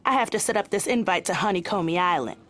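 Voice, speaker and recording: Brash, low-voiced office hustler. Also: female voice, expressive, fast talker, a bit bright. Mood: nonchalant. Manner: slangy, blunt. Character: brash, unbothered hustling female office manager. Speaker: office manager character in audio sitcom. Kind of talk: audio sitcom acting.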